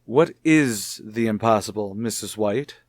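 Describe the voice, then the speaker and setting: An actor playing a calm, formal male child psychologist in a vintage radio soap opera, questioning in a measured, deliberate way. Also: lowish voice, no echo, studio quality, natural voice. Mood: curious, probing.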